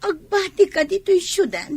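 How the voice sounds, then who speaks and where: A woman's voice: very high voice, very animated, normal speed, darkish tone, no echo. A woman with an Ilocano accent acting an ambitious, envious and regretful young pregnant village woman in a radio drama. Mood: distressed.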